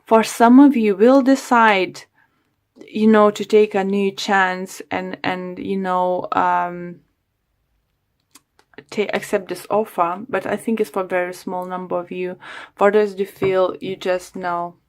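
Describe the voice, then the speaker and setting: Slow, gravelly woman losing her voice. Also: medium pitch, flat delivery. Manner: unhurried, chatty, many "you know" and "um" fillers. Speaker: tarot reader. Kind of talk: casual tarot reading to viewers.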